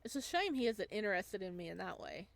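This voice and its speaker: A woman reading a visual novel aloud as a let's-play host, with expressive narration and casual asides. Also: mid-range voice, fast talker, vocal fry.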